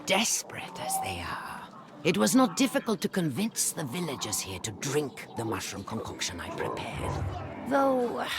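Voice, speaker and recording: A woman's voice: a bass voice, exaggerated voice. A woman playing a haughty, driven researcher dictating a journal in a fantasy video game, clinical, disdainful and theatrical. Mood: clinical, sly. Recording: clearly noisy ambient background.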